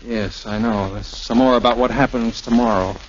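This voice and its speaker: A man's voice: mid-range voice, fairly even intonation, normal speed, natural voice. Man acting breezy young flyboy major, old dull recording. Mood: earnest, respectful. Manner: smooth-talking, wry, joking. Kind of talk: 1940s radio drama acting. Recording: dull, hissy 1940s radio recording.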